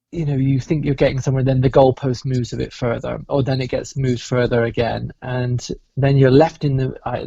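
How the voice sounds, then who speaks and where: A man speaking as a guest in a casual interview, reflective and quick to laugh, heard over a dull remote call. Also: mid-range voice, gravelly, mellow, dry recording. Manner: reflective, laughs often, restarts phrases. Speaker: cruise entertainment director, guest.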